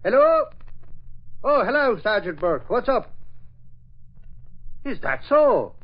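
An actor playing a bumbling, boastful newspaper reporter who stammers and hesitates, in a vintage radio drama. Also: male voice, very high-pitched, sing-song, slow pace, full character voice. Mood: chatty. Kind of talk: 1940s radio acting. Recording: dull old radio recording.

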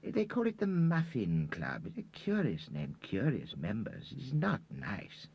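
A man voicing a timid, fussy older gentleman who talks in a hesitant, prim, preachy way. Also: mid-range voice, wildly swooping pitch, measured pace, creaky, breathy edge, dry recording, muffled recording, pitched above the performer's own voice, heavily acted. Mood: nervous.

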